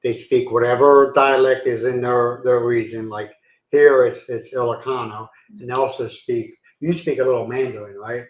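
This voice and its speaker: A man's voice: medium pitch, steady tone, moderate pace, roomy sound, low-quality recording. A man from Pennsylvania hosting a casual live-stream chat, rambling and self-deprecating, laughing easily. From Pennsylvania, USA. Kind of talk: casual chat reading viewer comments. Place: home in the Philippines.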